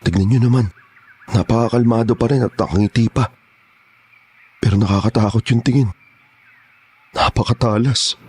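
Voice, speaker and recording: A man playing a nervous, respectful young kidnapper with a husky voice in a horror audio drama. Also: mid-range voice, normal speed, long pauses, darkish tone, close to an everyday voice. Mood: uneasy. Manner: uneasy, respectful.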